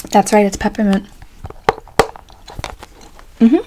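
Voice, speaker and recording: A soft-spoken woman doing an ASMR roleplay as a folksy, chatty late-night barber, often slipping into a whisper. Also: medium pitch, flat delivery, slow, noisy background. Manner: folksy, chatty, calls listener pet names. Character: chatty, tired late-night female barber, sweet and sassy.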